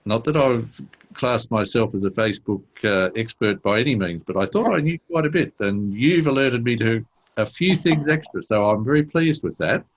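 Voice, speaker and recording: A man's voice: mid-range voice, expressive, normal speed, poor audio. A man hosting a podcast interview, friendly and affirming toward his guest. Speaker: podcast host.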